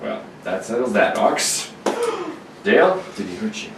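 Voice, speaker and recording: A man's voice: sits high, huge pitch swings, slow pace, vocal fry, voice pushed up, heavily acted. A man acting a brave, earnest space hero in a stiffly heroic, declamatory radio serial manner. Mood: gallant. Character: brave, earnest young male space hero, protective and gallant. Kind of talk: old radio serial read-through. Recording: roomy distant mic, loud noise.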